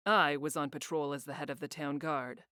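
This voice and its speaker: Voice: medium pitch, steady tone, moderate pace, sharp tone, dry recording, clean studio sound, natural voice. An actor playing a curt, condescending head of a town guard in a fantasy visual novel. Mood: matter-of-fact. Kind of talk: visual novel character acting.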